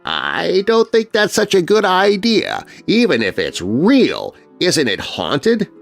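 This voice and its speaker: A man's voice: sits high, wildly swooping pitch, slow, gravelly, faint music behind, voice pushed up, full character voice. A man voicing a nervous, discouraging woman librarian in a children's scary story.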